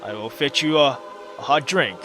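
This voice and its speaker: A man voicing a kindly, solemn old male priest who speaks gently and reassuringly. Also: medium pitch, moderate pace, soft background music.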